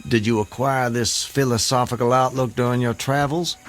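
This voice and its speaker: A man's voice: medium pitch, fairly even intonation, normal speed, close to an everyday voice. An actor playing an earnest, haunted man who escaped his hanging, recounting it in formal, vivid language for an audio drama. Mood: defensive, unsure. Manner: literary, vivid, formal narration. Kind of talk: audio drama monologue and dialogue. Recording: music under the voice. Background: music.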